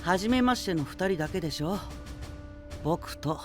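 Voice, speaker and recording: A man's voice: sits high, lively intonation, normal speed, over music. A man playing a cocky, playful pretty-boy knight in an anime audio drama, breezy and teasing with a condescending streak.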